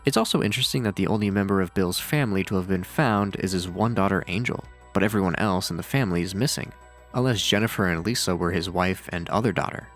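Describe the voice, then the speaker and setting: A man's voice: sits low, fast talker. A man narrating scripted commentary on horror with casual, dryly sardonic asides. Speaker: video narrator and reviewer. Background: faint music.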